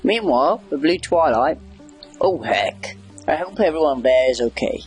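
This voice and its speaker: Male let's-play commentator, slightly high husky voice, wry off-the-cuff chatter over soft background music. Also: animated, moderate pace. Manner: wry, chatty, reacting aloud to the game. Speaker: gamer doing a video game playthrough. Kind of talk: casual rambling game commentary.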